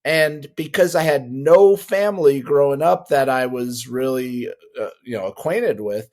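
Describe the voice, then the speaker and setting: A man hosting an interview podcast, reacting quickly and encouragingly to his guest. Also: medium pitch, animated, darkish tone. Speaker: podcast host. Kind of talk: casual interview.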